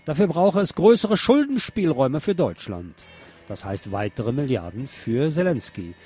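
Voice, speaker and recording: A man's voice: a little high, very animated, normal speed, dark tone, breathy edge, soft background music. A man reading scripted news narration and commentary as a presenter.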